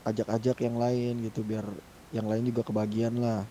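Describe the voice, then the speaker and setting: A man's voice: mid-range voice, completely flat delivery, moderate pace, warm tone, a little hiss or hum. A man talking casually in a group discussion during an online game. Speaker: roleplay gang member helping lead a meeting.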